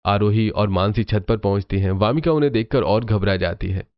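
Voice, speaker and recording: Low-voiced man narrating a cartoon story. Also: fairly quick, dry recording, muffled recording.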